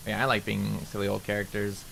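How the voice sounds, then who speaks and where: A man's voice: on the low side, monotone, a little fast, gravelly, a bit bright, a little hiss or hum. A man streaming while he plays a game, chatting casually and rambling with plenty of "like" fillers. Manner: rambling, loose talk full of "like" fillers. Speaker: VTuber streamer.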